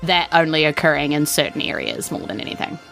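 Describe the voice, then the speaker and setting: A woman's voice: sits low, very animated, quick pace, gravelly, fairly bright tone, faint music behind. A woman with a New Zealand accent, narrating a tabletop game as game master in an informal, conversational way. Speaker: game master, podcast host.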